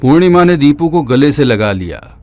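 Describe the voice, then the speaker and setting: A man narrating a horror story in a steady, dramatic way, dropping lower at the grim moments. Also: normal speed. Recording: narration over loud background music.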